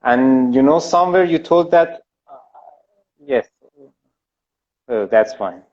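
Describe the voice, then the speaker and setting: A man from Iran with an Iranian accent, a native Persian speaker, chatting casually and haltingly as a guest on a call. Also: mid-range voice, lively intonation. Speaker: interview guest, illustrator. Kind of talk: casual video-call chat. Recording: dull, lo-fi internet call audio.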